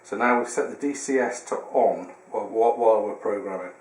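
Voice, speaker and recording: Echoey low-voiced man giving a tutorial. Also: a little fast, darkish tone. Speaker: product demonstrator. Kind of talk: informal hands-on product tutorial. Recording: roomy echo with background hiss.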